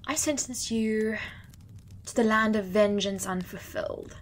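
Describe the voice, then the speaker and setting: A woman's voice: mid-range voice, unhurried, slight background noise, natural voice. A woman playing a wry, grand female judge of the dead who pronounces sentences in a stately, theatrical way. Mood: imperious. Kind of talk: audio drama acting.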